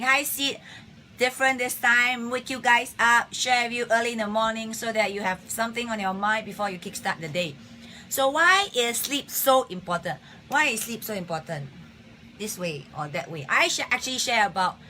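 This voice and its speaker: A woman with a husky voice hosting a casual live-streamed health talk, chatting and greeting viewers by name between points. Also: mid-range voice, sharp tone, slight background noise. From Singapore. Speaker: health talk show host. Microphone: phone or webcam on a live stream.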